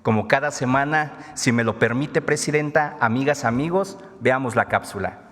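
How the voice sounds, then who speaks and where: A man's voice: mid-range voice, moderate pace, fairly bright tone. A man giving a formal, solemn address at a government press conference. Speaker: government spokesman presenting a segment. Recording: long hall reverb.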